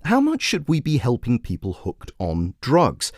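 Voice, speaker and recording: A man voicing a brisk female radio phone-in host who questions and persuades in a measured way. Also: medium pitch, huge pitch swings, no room sound, studio quality, full character voice. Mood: brisk, professional. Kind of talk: talk radio presenting.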